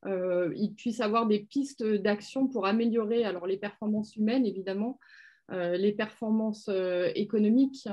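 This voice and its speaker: A woman's voice: medium pitch, normal speed, dark tone. A woman who works as a color strategy expert, explaining her work on a webinar over a video call, with lots of fillers. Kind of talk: webinar presentation.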